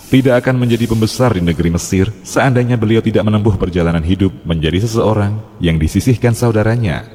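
Calm low-voiced man narrating, reverberant. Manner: calm, measured storytelling. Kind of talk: scripted religious story narration. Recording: long reverb.

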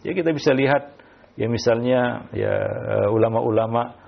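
A man giving religious answers as an Islamic teacher, speaking haltingly with repeated filler tags and quoted Arabic phrases. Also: mid-range voice, vocal fry. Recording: muffled, dull lo-fi audio.

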